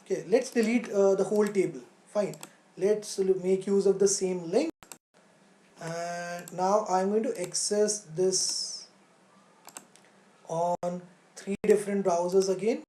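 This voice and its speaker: A woman's voice: mid-range voice, steady tone, warm tone. Woman teaching in an echoey room. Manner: halting, thinking aloud, repeats phrases for emphasis; poses questions and answers them. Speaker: coding teacher. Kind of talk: live online tutorial, explaining step by step. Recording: roomy, echoey sound.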